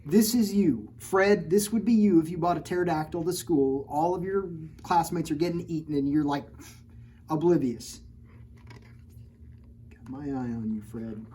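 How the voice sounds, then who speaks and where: A woman reads a children's storybook aloud in a mellow, warm tone, pausing again and again to joke with the kids and point things out in the pictures. Her storytime delivery is playful and teasing, with faint noise in the background. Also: sits low. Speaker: children's librarian. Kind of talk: storytime read-aloud with chatty asides.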